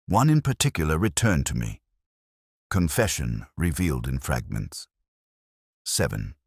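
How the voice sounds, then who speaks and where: A man reading a scripted first-person literary mystery in a solemn, measured, reflective manner. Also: a bass voice, husky, no echo, studio quality. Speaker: narrator.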